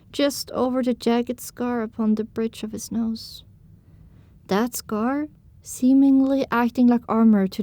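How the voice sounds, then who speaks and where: A woman's voice: fairly high pitch, warm tone, no echo. A woman narrating an audio drama in the first person, reading scripted storytelling prose.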